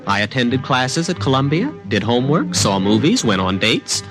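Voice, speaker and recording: A wry, skeptical young college student, voiced by a man in a vintage radio drama. His talk is conversational, with a lively rise and fall in pitch. Music plays clearly behind him. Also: moderate pace, close to an everyday voice. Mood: narrating. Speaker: narrator and lead. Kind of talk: 1950s radio drama acting. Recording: studio with clear background music.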